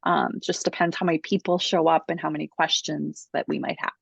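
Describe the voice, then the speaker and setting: A woman's voice: low-pitched, little pitch movement, brisk, creaky, slightly rough, no echo. A woman hosting a casual online Q&A class, talking informally over a video call. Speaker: host of a book publishing Q&A class. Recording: dull lo-fi video call audio.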